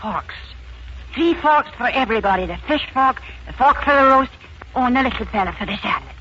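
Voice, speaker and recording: Bright, lilting young Irish housemaid. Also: female voice, a little high, animated, brisk, a little hiss or hum. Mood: flustered. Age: young adult. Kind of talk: 1940s radio acting. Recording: muffled 1940s radio broadcast.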